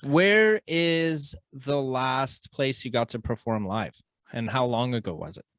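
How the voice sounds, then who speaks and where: A man's voice: mid-range voice, animated, a little slow, fairly bright tone, clean tone, no echo. A man with a Canadian accent hosting a casual interview, chatting in an informal, slangy and agreeable way. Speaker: podcast host.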